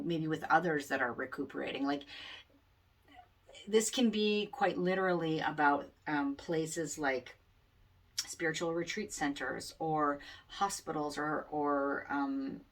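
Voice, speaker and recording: A woman astrologer giving a casual forecast, rambling with many fillers, in a loud voice picked up by the camera mic. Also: sits low. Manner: rambling, many ums and you-knows. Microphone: camera mic, microphone not plugged in. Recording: distant sound from unplugged mic.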